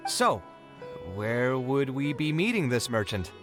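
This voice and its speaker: A man's voice: mid-range voice, very animated, slow, music in the background. A man voicing an idealistic, dramatic and easily flustered young architect in a video game, earnest and exasperated. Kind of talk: video game character acting.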